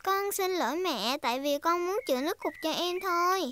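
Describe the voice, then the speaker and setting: A woman's voice: very high-pitched, animated, normal speed, a bit bright, slight background noise. A woman voicing a bossy, cheerful little girl pig in an earnest, childlike way for a preschool cartoon dub. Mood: explaining. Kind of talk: Vietnamese-dubbed preschool cartoon acting.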